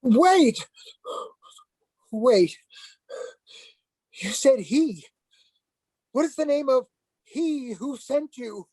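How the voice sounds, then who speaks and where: Lady acting a scared zealot priest, high slow voice, stammery n preachy, stage play. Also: animated, mellow, husky, dry recording, heavily acted, poor audio. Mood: shaken. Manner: stammering, declamatory. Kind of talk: livestreamed stage play reading.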